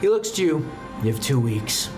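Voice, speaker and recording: A man working as game master, narrating a tabletop roleplaying game in a dramatic, halting way with informal asides. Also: medium pitch, big pitch swings, over music.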